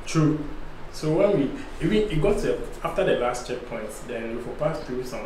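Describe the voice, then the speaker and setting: A man's voice: medium pitch, moderate pace. A man in his thirties with a Ghanaian accent, animatedly telling a travel story on a podcast. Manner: animated storyteller, acts out police voices. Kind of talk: casual storytelling in Pidgin-tinged English. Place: dark studio set. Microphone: boom-arm studio mic. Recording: echoey room with faint hum.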